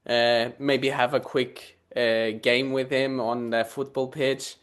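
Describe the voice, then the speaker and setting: Slow, hesitant young man from Poland. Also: medium pitch, level tone, a bit bright, clear, resonant tone. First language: Polish. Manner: hesitant, many fillers, laughs easily. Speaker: guest. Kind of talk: casual interview answers.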